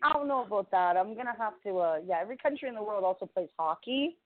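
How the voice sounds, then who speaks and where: A woman's voice: mid-range voice, expressive, fast talker. A woman from Canada co-hosting a radio show, bantering casually in a playful, feisty way. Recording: narrow phone-like line.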